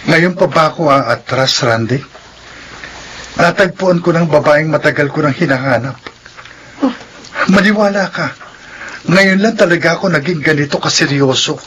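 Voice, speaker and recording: A man's voice: a little high, moderate pace, long pauses, a bit bright, slight background noise, lightly acted, rough recording. A man playing an earnest, anguished suitor in a drama. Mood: pleading, desperate. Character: lovesick, impatient male suitor, earnest and anguished. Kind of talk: radio drama dialogue.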